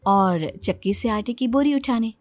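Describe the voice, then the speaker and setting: High-voiced woman narrating a comic tale. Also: unhurried, a little mellow, no echo. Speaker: story narrator.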